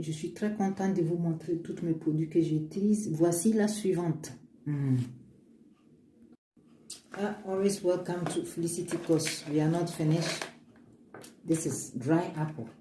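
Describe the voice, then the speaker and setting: A woman's voice: low-pitched, dark tone. A woman showing her homemade oils in a casual vlog, earnest and upbeat, with frequent restarts. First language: African language. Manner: earnest, upbeat, speaks of faith, many restarts. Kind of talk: casual show-and-tell, switching between English and French. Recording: echoey room with faint ambient noise.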